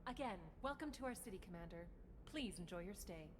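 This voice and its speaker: An actress playing a courteous, formal port concierge in a video game, polished and deferential. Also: female voice, medium pitch, animated, brisk, lightly acted. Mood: welcoming. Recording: long reverb, noisy hall ambience.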